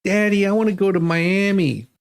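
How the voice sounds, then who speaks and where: A spoiled daughter as acted out by a man in a comedy joke. The voice is pushed high, whiny and wheedling, and nags persistently. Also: normal speed, smooth, no room sound. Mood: nagging.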